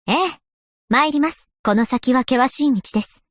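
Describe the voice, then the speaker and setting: A woman's voice: high voice, normal speed, no echo, studio quality, natural voice. A woman voicing a polite, scholarly young historian in a game, speaking with formal, refined courtesy. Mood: composed, dutiful. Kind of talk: scripted game character voice lines.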